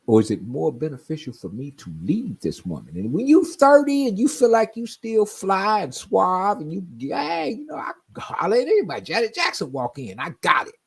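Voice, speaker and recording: A man's voice: high voice, wildly swooping pitch, normal speed, vocal fry, husky. A man in his forties telling stories in casual chat, often asking "you know what I mean?". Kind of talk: casual chat on a live stream.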